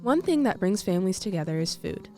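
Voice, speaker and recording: A woman's voice: medium pitch, big pitch swings, quick pace. A young woman, reading a scripted news feature as a student reporter. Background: faint music.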